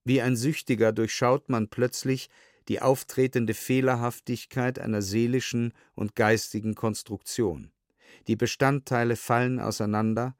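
A man's voice: mid-range voice, no echo. Dry, sober male documentary narrator. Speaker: narrator reading historical documents. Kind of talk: scripted documentary audiobook narration.

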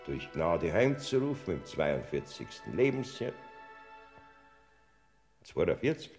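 Gravelly Bavarian Saint Peter. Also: male voice, low voice, very animated, slow pace, a little mellow, faint music behind, exaggerated voice. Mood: reading. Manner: soothing, turns flustered and bossy. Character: Saint Peter, heavenly gatekeeper, older man, fussy yet kindly. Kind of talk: classic radio play acting.

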